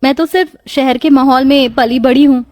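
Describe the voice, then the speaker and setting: A woman's voice: high-pitched, fairly even intonation, normal speed, darkish tone, no echo, clean studio sound, natural voice. A woman voicing a carefree, lazy young college woman in a story, with a breezy, whiny manner. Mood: sweet.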